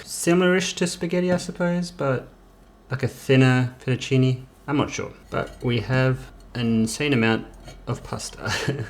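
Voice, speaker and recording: Young man, cooking vlog host, casual, rambling, home kitchen, faint background noise. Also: mid-range voice. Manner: relaxed, rambling, self-correcting.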